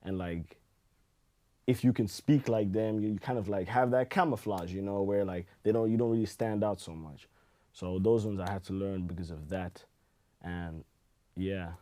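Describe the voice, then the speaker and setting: A man with a very low voice and a warm, dark tone. He chats casually as a podcast guest, telling stories in an easygoing, rambling way full of "you know" and "like". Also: normal speed. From Zimbabwe. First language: Shona. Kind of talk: casual chat.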